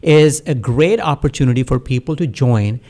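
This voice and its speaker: A man from Pakistan, a guest community activist, speaking in a measured, reflective way with frequent fillers in a studio talk show. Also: mid-range voice, lively intonation, dark tone. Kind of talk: earnest talk-show conversation.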